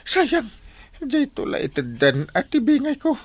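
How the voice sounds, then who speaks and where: Wildly swooping, whiny comic village captain. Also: male voice, very high voice, huge pitch swings, unhurried, full character voice. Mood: whining, pained. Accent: Filipino (Ilocano). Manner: whiny, exclaiming, hesitant. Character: comic village captain, whining and groaning over a bellyache. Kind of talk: Ilocano radio comedy drama acting.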